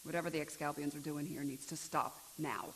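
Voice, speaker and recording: A woman's voice: low voice, fairly even intonation, brisk, a little hiss or hum. An actress playing a blunt, wary former-Borg woman officer in a video game, speaking dry and matter-of-fact. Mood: concerned. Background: game music.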